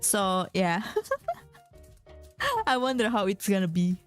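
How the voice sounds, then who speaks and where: Slow, rambling young woman streamer. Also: mid-range voice, big pitch swings, soft background music. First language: not English. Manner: chatty and rambling, reads chat aloud, laughs often. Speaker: vtuber streamer. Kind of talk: casual chat with viewers.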